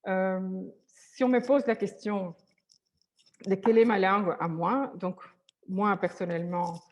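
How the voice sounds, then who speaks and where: A woman's voice: mid-range voice, steady tone, unhurried. A woman therapist whose first language is Spanish, speaking hesitantly with many restarts and fillers.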